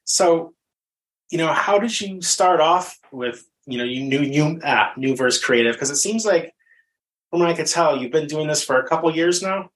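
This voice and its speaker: A man's voice: fairly high pitch, moderate pace, a bit bright, poor audio. A man interviewing a guest on a podcast in a casual, conversational style.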